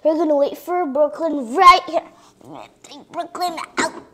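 A female voice giving a chatty tour of a toy dollhouse playset, addressing viewers directly and sometimes dropping into soft whispers. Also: sits high, animated, moderate pace, noisy background. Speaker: toy reviewer.

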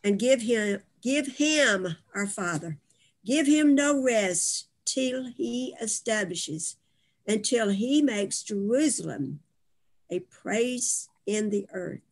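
An older woman reciting scripture and prayer in a solemn, measured way over a video call from home. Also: fairly high pitch, lively intonation. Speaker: prayer group member. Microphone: video call device mic.

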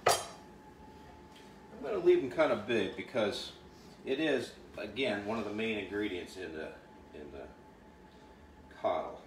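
A man talks a viewer through a rustic dish in a roomy, echoey kitchen, heard from a few steps away from the mic. Frying and sizzling carry clearly behind his relaxed, chatty explanations. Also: on the low side, a little slow, pauses often. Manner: chatty, explains while he works. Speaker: cooking show host. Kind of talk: casual cooking demonstration. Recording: roomy kitchen sound, mic at a distance, clear background noise.

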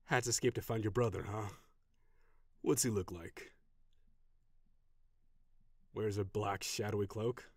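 A man voicing a proud, gruff tsundere dragon warrior in an audio roleplay, brusque and defensive with grudging sighs. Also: on the low side, fairly even intonation, a little slow, pauses often, no echo, clean studio sound. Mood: gruff, questioning.

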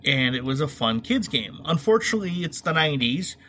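A man's voice: a little high, very animated, fairly quick, pushed and bright, a little hiss or hum. A middle-aged man hosting a casual, comedic movie review podcast in an opinionated, wry, informal way. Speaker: podcast host.

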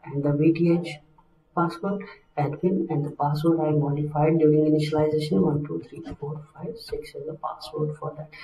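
A man gives a step-by-step software tutorial in a mellow, relaxed voice. His phrasing is halting and instructional. The recording is roomy, with the microphone some distance away. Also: on the high side. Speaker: technical instructor.

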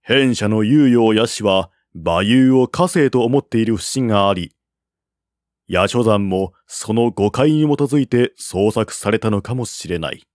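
A man reading a historical tale as a narrator, in a measured, formal storytelling manner. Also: lowish voice, animated, a little mellow, no room sound, studio quality. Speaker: narrator, audiobook-style reader. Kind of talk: scripted narration of a historical Chinese novel.

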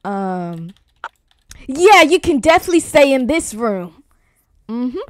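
A woman's voice: high-pitched, big pitch swings, takes it slowly, exaggerated voice. A woman playing a reluctant, flustered granddaughter in an improvised game roleplay, slangy and repeating herself. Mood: awkward. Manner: slangy, dramatic, stammering repetition.

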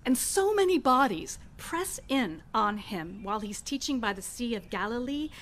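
A middle-aged woman pastor preaching a sermon in an outdoor church garden, speaking into a stand mic at a lectern with expressive pauses. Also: a little high, a little hiss or hum.

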